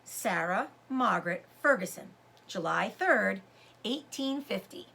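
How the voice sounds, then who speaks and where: Casting: grieving orphaned storybook girl, wistful, hushed and halting, husky edge, played by a woman reader. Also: mid-range voice, animated, exaggerated voice. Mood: solemn.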